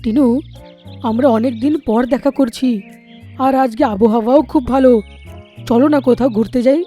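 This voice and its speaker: A woman's voice: sits high, moderate pace, warm tone, faint music behind, natural voice. A woman voicing a friendly, eager little bird in a children's cartoon. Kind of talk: cartoon character voice.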